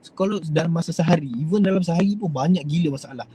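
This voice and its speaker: A man's voice: a little high, fast talker, a crowd in the background. A young Malaysian man with a Malaysian Malay accent, giving a rambling, hesitant motivational talk to his viewers. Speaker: host.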